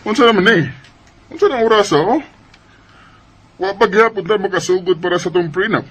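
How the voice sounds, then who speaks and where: An actor playing an ardent but impatient young fiancé in a radio drama, with a Bisaya Filipino accent. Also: male voice, high-pitched, normal speed, a bit bright, slightly rough. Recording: music bed under the voice.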